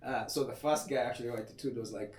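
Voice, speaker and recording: A young man from Kenya talks in an easy, slangy, unhurried way, like a tattoo artist chatting with a friend. He sits back from the microphone, so his voice sounds distant and picks up the room's echo. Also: mid-range voice, fairly even intonation, darkish tone. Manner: laid-back, slangy, laughs often. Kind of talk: casual podcast chat. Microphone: podcast mic set back. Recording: echoey room, voice off-mic.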